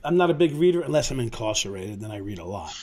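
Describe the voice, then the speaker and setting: A man's voice: fairly low pitch, sing-song, quick pace, background noise. A man co-hosting a casual interview, joking, rambling and laughing often. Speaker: co-host, ex-detective. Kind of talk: casual chat.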